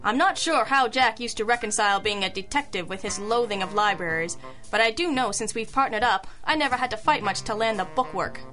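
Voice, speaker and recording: A sassy, self-assured female private eye from a radio detective drama. Her voice is bright and fairly high, with a dry, wisecracking delivery over clear background music. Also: animated, brisk. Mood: wry, brisk. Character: wry, self-assured girl detective in a hard-boiled mystery. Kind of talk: old-school radio detective narration. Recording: music under the voice.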